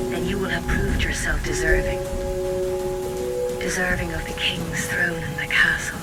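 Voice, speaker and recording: A woman playing a mysterious, sorrowful guide to a cursed traveler in a dark fantasy game, speaking softly and breathily with grave, measured solemnity over music and rain. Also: lowish voice. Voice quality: soft, breathy, whispery. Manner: measured, grave, cryptic delivery. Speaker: video game character voice actor. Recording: loud music and rain under voice. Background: loud ambient music and falling rain.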